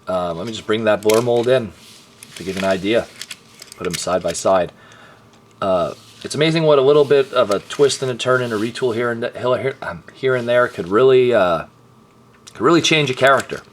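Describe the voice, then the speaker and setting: Hoarse tired man reviewing toys. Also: medium pitch, moderate pace, slight background noise. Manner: chatty, frequent throat clearing and fillers. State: hoarse, voice partly lost. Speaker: toy reviewer and channel host. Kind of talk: casual toy review.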